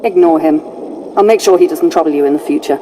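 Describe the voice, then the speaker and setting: Fast-talking, callous officer played by a man. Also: on the high side, mellow, slight background noise, close to an everyday voice, rough recording. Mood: brisk, callous. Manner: businesslike, blunt. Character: brisk, callous male ship's officer. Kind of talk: scripted video game acting.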